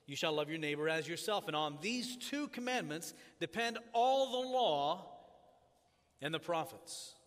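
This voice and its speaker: A man who grew up in Tanzania, preaching as a pastor in a church, praying and then teaching in a measured, earnest cadence. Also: a little high, lively intonation. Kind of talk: sermon with opening prayer. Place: church sanctuary. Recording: church hall reverb.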